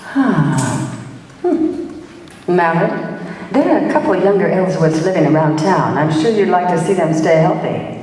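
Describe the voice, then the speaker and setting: A woman playing a brisk, wry, wisecracking female private detective who narrates her case in slangy old-time radio play style. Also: fairly low pitch, lively intonation, darkish tone, a little hiss or hum. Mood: urgent, confident. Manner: hard-boiled, wry, slangy. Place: live stage performance in a large room. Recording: echoey hall, recorded from far off.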